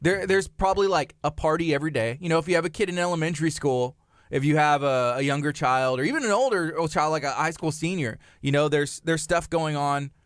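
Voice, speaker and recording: A man's voice: a little high, fairly quick, no room sound. A man in his thirties co-hosting a live morning radio show, upbeat and chatty, laughing often and restarting phrases, in a studio on a studio microphone. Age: 30s. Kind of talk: casual live radio chat.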